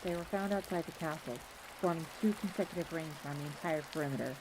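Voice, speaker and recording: A woman's voice: low voice, fast talker. A woman voicing a commanding fox maid who speaks formally and curtly in a scripted roleplay drama. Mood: urgent, grave. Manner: formal, curt, urgent. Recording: clear rain noise over voice. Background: pouring rain.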